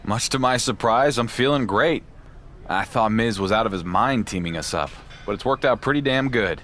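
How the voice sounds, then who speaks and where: An actor playing a proud, sarcastic wrestling champion with a dry manner in a video game cutscene. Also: male voice, lowish voice, normal speed, a bit bright, slight background noise, close to an everyday voice. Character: wry, proud male pro wrestling champion.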